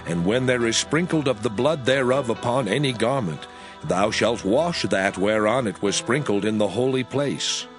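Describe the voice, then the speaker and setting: A man playing God proclaiming sacred laws in a dramatized scripture reading, solemn, deliberate and declamatory. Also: medium pitch, natural voice. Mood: solemn, commanding. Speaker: scripture voice actor. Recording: music under the voice. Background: background music.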